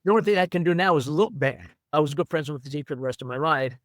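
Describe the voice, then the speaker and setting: A man's voice: a little high, no echo, clean studio sound. Older man recalling his running days. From Brooklyn, New York. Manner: unhurried, fond, with many "you know"s. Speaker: interview guest, former runner and coach. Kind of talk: reflective storytelling.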